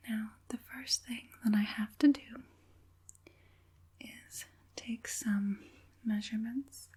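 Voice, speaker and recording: A woman voicing an evil witch puppet master in an ASMR roleplay, mostly whispering in a breathy, deliberate, murmuring way. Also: on the high side, darkish tone, heavily acted. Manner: slow, deliberate, murmuring assent between pauses.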